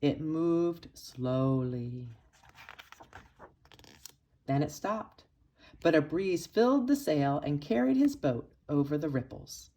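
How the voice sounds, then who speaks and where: Lower-pitched woman reading a children's story. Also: lively intonation, mellow. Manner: dramatic emphasis and pauses for young listeners. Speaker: storybook reader for children's church. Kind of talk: expressive read-aloud of a children's picture book.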